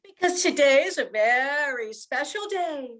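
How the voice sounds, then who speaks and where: A woman's voice: sits high, lively intonation, a little slow, fairly bright tone. A woman playing an earnest young widowed mother in an amateur radio play, with heartfelt, theatrical delivery. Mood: hopeful.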